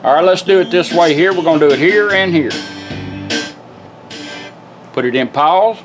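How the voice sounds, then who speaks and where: A man's voice: on the high side, lively intonation, normal speed, over music. A man giving a casual, hands-on product demonstration, explaining controls step by step in a folksy, informal way. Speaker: product demonstrator, radio builder.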